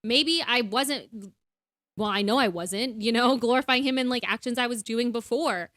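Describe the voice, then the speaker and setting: A woman with a fairly high voice talks reflectively on a faith podcast, dotting her sentences with "like" and "you know." The sound is close and dry, with no room echo. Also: moderate pace, a bit bright. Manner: reflective, lots of "like" and "you know", laughs easily. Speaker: podcast co-host. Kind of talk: casual faith chat.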